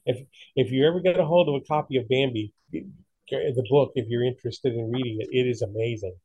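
A man's voice: mid-range voice, quick pace, mellow, breathy edge, dry recording, lo-fi sound. A man in casual conversation, talking in an opinionated, emphatic way. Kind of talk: casual chat.

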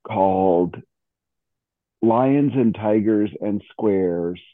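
A middle-aged or older American man chatting casually, halting and amused as he talks. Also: on the low side, no echo. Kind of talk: casual chat. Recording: thin phone-like call audio.

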